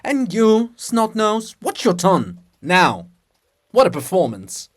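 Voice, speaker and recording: A man's voice: high voice, huge pitch swings, slow pace, pitched above the performer's own voice, heavily acted. A man voicing a proud, scornful princess monument who scolds in a haughty, cutting way in a dramatic farce reading.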